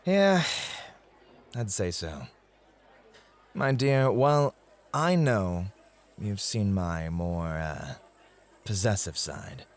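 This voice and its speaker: A man playing a suave, possessive and devoted club host in an ASMR roleplay, speaking in a teasing, flirtatious, intimate way. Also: sits low. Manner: teasing, flirtatious, intimate address with drawn-out phrasing.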